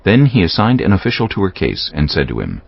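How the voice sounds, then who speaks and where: A man's voice: low-pitched, wildly swooping pitch, quick pace, gravelly, husky, no echo, lo-fi sound. A man giving scripted narration of scripture as an audiobook narrator.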